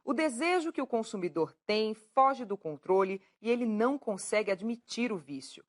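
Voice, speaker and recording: A woman's voice: a little high, expressive, normal speed. A woman hosting a TV talk show, playful and teasing with her guests. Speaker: TV show host.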